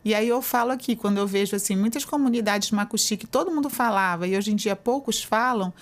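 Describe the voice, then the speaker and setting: A Brazilian woman professor talks through her answers in a relaxed, explanatory way. She speaks at a middle pitch and often tags her sentences with small confirming fillers. Her tone is conversational and unhurried. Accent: Brazilian Portuguese. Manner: explanatory, uses many fillers like "né". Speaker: university professor, guest.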